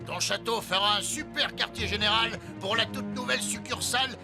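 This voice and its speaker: An actor playing a bullying, sly villain's lackey in an animated series, taunting and theatrical, with a creaky voice. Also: male voice, high voice, fairly quick. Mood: gloating. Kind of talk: French animated series dubbing. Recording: music behind the voice.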